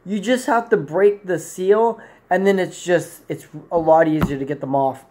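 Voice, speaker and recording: Higher-pitched young man, casual reviewer. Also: moderate pace, dark tone, a little hiss or hum. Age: 20s. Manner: rambling, self-correcting. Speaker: amateur product reviewer. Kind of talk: casual unscripted product review to camera. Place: small plain room indoors.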